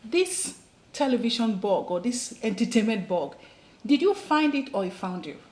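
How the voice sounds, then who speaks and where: A woman's voice: on the high side, very animated, moderate pace, darkish tone, echoey room, slight background noise. A woman with a Nigerian accent hosting an interview show, introducing her guest and teasing him with follow-up questions. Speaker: talk show host.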